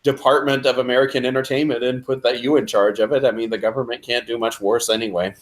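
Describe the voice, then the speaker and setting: A man's voice: medium pitch, flat delivery, fast. A man in his late thirties co-hosting a livestream, telling rambling stories and making dry jokes. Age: 30s. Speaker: livestream co-host. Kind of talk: casual livestream chat.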